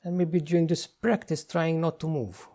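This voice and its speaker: Mellow-voiced man guiding a meditation. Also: a little high, fairly even intonation. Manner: gentle, unhurried instruction with frequent pauses. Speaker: meditation guide. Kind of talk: guided meditation.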